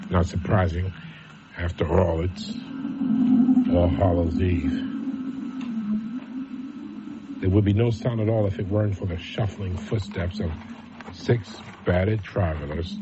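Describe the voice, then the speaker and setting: A man narrating a radio drama as a grave storyteller, deliberate and ominous. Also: fairly low pitch, lightly acted. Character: grave male storyteller setting an eerie scene. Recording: muffled, very noisy old radio recording.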